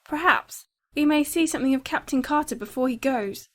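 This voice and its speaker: Woman as an eager, high-pitched young girl. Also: a little fast, a bit bright. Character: eager, flighty teenage girl. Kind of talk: dramatized audiobook character.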